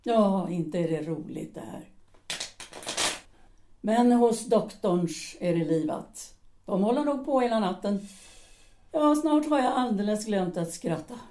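A woman voicing a cutting, embittered ex-actress wife, mellow dark tone, reproachful. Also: mid-range voice, expressive, long pauses, lightly acted. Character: embittered former actress wife, sharp-tongued, in middle age. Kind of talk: Swedish audio drama acting.

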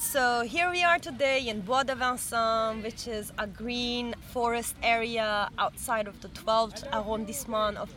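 A woman, co-hosting a casual podcast in an animated, chatty way, recorded outdoors in a city park. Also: mid-range voice. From Turkey. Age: 30s. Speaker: podcast co-host. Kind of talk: casual chat. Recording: faint outdoor traffic noise.

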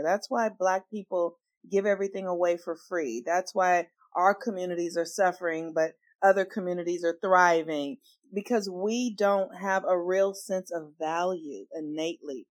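Woman guest telling her story, low-ish voice, earnest and emphatic, dry close sound. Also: no echo. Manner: earnest, emphatic, with swings in loudness. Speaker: astrologer guest. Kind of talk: personal storytelling in an interview.

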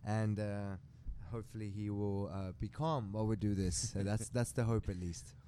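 A man from Sweden chats as a podcast guest in a low, relaxed voice. His delivery is conversational and halting, with repeated words. Noise is audible behind him. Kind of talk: casual conversational interview. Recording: clear background noise.

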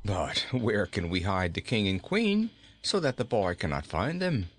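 A man's voice: fairly low pitch, big pitch swings, measured pace, a bit bright. A man voicing a mocking warrior king in a storybook, taunting and ponderous. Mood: grave, pondering. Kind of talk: storybook character reading.

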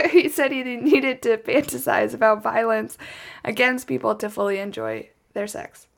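Chatty woman with a creaky voice. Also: fairly high pitch, lively intonation, unhurried. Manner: chatty, wry asides. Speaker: podcast host. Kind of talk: casual true crime storytelling from notes.

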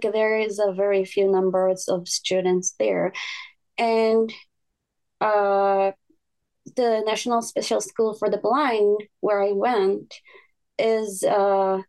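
A woman's voice: mid-range voice, fairly even intonation, takes it slowly, a little mellow, rough recording. A woman in her twenties from Japan, speaking English with a Japanese accent as a podcast guest, hesitant and searching for words. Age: 20s. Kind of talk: casual podcast interview.

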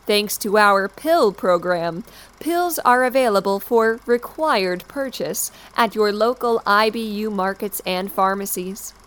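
An actress playing the composed president of a dystopian state, giving a polished, formal, measured address in an audio drama. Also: female voice, mid-range voice, fairly bright tone, close to an everyday voice. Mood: official welcome. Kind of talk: formal scripted official address.

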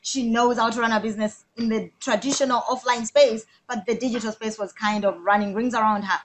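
A woman's voice: a little high, fairly even intonation, brisk, slight background noise, rough recording. A woman hosting a live online interview, effusive and full of praise for her guest. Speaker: host.

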